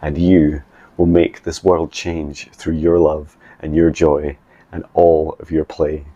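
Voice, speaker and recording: Very deep, flat-voiced man. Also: lo-fi sound. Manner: soft, slow affirmations; chatty with many ums in updates. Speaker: psychic mentor. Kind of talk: meditation messages and video diary updates.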